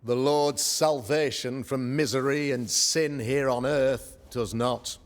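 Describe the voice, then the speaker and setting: A man's voice: medium pitch, fairly even intonation, slow, lightly acted. An actor playing a pompous, self-righteous colonial governor who sermonizes grandly over his townsfolk. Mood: preaching. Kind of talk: video game cutscene acting. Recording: long reverb under game music.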